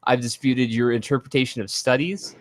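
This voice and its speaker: A deep-voiced person in a heated online debate, firing off blunt, profane rebuttals one point after another. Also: fairly even intonation, fairly quick.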